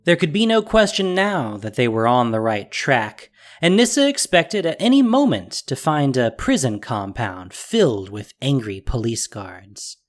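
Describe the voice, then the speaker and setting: A narrator reading a scripted fantasy story with expressive, descriptive delivery. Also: mid-range voice.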